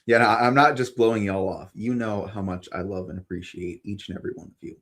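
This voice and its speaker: A young man with a gravelly voice, co-hosting a casual live stream over a video call and bantering in an easygoing way. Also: lowish voice, steady tone, quick pace, a bit bright. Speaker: co-host.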